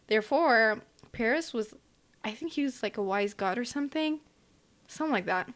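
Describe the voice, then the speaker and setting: A woman's voice: on the high side, expressive, normal speed. Chatty woman retelling a myth. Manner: rambling, hedging retelling with fillers. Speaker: podcast co-host. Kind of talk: casual chat.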